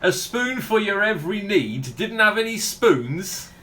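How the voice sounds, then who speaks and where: An actor playing a fussy, sarcastic milkshake bar owner in a comedy radio play, wry and exasperated in manner. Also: male voice, sits high, very animated, a little slow, pushed and bright, full character voice.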